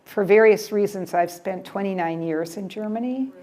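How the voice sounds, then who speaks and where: Chatty older American woman, mellow voice. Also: medium pitch, moderate pace. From United States. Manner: chatty, repeats words, trails off with "yeah". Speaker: guest speaker at a library travel talk. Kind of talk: casual presentation with audience questions. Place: library event room.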